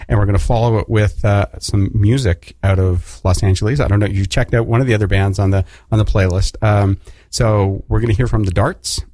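Man, radio host, low voice, chatty, laughs a lot, dry studio sound. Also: steady tone, a little fast, mellow, dry recording. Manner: chatty, laughs often, loose fillers. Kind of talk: casual radio interview.

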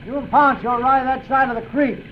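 An actor playing a gruff, blunt frontier sheriff with an American Western accent and folksy exclamations, in 1940s radio acting. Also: male voice, very high voice, steady tone, normal speed. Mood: brisk. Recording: muffled old radio recording with faint hiss.

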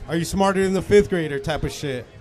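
A man's voice: on the high side, fast, a little mellow, a faint crowd. A man in his thirties chatting casually outdoors as a podcast co-host, slangy and full of swearing. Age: 30s. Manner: slangy, swearing, says bro and dog. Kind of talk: casual chat. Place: outdoors by a food truck.

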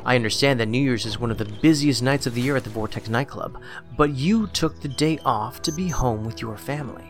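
An actor playing a calm, probing federal investigator in an audio drama, with a dry, measured manner. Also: male voice, medium pitch, faint music behind, natural voice. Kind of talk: naturalistic audio drama acting.

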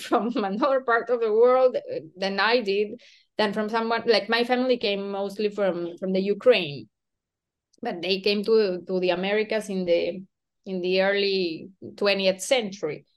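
A woman from Peru, an author interviewed about her book, speaking thoughtfully with frequent restarts, hedges and soft laughs. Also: mid-range voice, steady tone, normal speed, smooth. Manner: hesitant, self-questioning, with many restarts and soft laughs. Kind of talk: thoughtful academic conversation.

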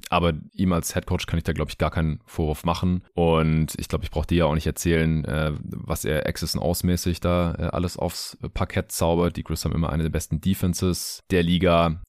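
A man's voice: low voice, level tone, brisk, hardly any pauses, a little mellow, dry recording, studio quality. A man discussing sports casually as a podcast host, mixing informal speech with English basketball jargon.

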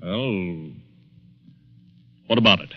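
A man's voice: deep voice, slow pace. An actor playing a scornful, indignant man targeted for murder, brusque and dismissive in 1940s radio suspense style. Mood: cold, dismissive. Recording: dull, band-limited 1940s radio recording.

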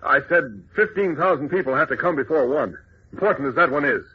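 A man's voice: on the high side, expressive, a little fast, close to an everyday voice. Man acting an earnest, determined radio hero, muffled recording. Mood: urgent, resolute.

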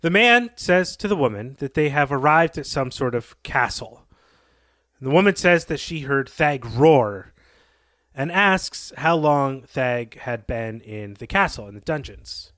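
A man's voice: medium pitch, expressive, measured pace, fairly bright tone, no echo, clean studio sound. A man co-hosting a podcast, retelling a story and commenting on it as he goes. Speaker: podcast co-host.

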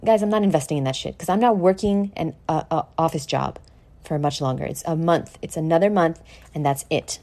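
A woman with a somewhat low voice delivers a motivational podcast monologue. She is blunt and brash, swears freely and addresses the listener directly. Key words get stretched out for dramatic emphasis in an otherwise informal delivery. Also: brisk, warm tone. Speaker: podcast host. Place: at home in a condo.

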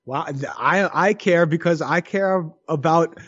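A man's voice: a little high, unhurried, a bit bright, dry recording, muffled recording. A man co-hosting a boxing podcast, answering with sarcastic jokes. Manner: sarcastic, joking. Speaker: podcast co-host. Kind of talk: casual chat.

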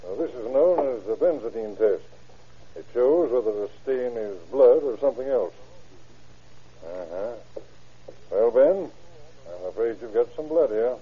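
An actor playing a patient, obliging small-town doctor in an old-time radio drama, explaining in a folksy way. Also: male voice, mid-range voice, animated, measured pace, long pauses, natural voice. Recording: tinny, band-limited old radio recording.

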